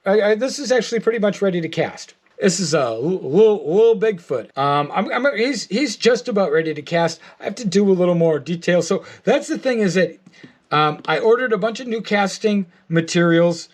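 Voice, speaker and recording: Middle-aged man, American accent, slightly high voice, casual rambling vlog style, halting, repeated words. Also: lively intonation. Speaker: artist and toy maker. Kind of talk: casual rambling video update. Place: art studio, indoors.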